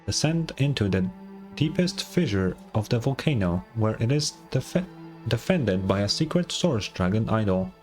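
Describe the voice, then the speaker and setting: A man voicing a huge ancient dragon robot record-keeper from a game, formal, measured and helpful. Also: lowish voice, very animated, soft background music. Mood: polite, matter-of-fact. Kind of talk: reading game dialogue aloud.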